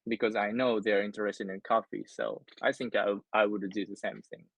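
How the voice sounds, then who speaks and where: A man from Japan with a Japanese accent, chatting casually as an English learner, halting and repeating words as he searches for phrasing. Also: lowish voice, level tone, a bit bright, dry recording. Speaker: English learner in an online lesson. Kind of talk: casual conversation practice in English.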